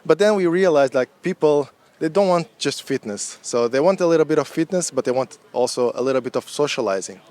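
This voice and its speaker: A man who founded a fitness community, telling its story in a relaxed, chatty way at an outdoor meetup. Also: a little high, brisk, few pauses. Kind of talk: casual interview.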